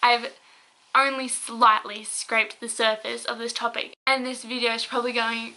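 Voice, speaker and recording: A young woman rants to her viewers in a heated, rambling way. She sounds out of breath and hoarse, as if her voice is giving out. Her tone is bright and sharp, set a little high, yet it barely rises or falls. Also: level tone, moderate pace, low-quality recording. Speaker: vlogger. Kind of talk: angry opinion rant to camera.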